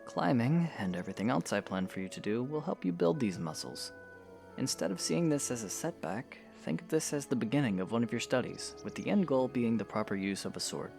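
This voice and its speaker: A man playing a patient young swordsman hero in an audio drama, coaching in a gravelly, encouraging way. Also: mid-range voice, animated, fairly quick, a little mellow, soft background music. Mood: patient, instructive. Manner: patient, instructive, reassuring. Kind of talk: scripted audio drama acting.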